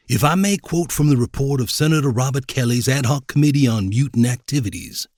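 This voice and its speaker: An actor playing an insinuating zealot preacher in a debate, rhetorical, deliberate and pointed. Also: male voice, mid-range voice, a little mellow, slightly rough, no echo, studio quality. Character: smooth, insinuating anti-mutant male preacher in debate.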